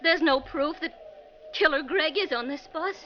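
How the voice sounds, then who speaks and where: Female passenger actress's role, 1940s radio mystery: young, overwrought, earnest, high and dramatic, slightly hissy old recording. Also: moderate pace, close to an everyday voice. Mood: upset, apologetic. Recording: muffled, noisy 1949 radio recording.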